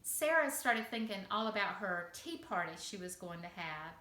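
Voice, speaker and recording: A woman telling a children's story in simple, expressive phrases for young listeners. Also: medium pitch, normal speed. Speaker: preschool teacher telling a bedtime story. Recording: echoey room.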